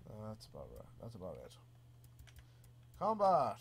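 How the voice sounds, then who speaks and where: A man, stuffy from a cold, casually commenting on his own gameplay with relaxed, easily amused remarks and mild swearing. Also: on the low side, moderate pace, background noise. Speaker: gaming channel host. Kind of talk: casual let's play commentary.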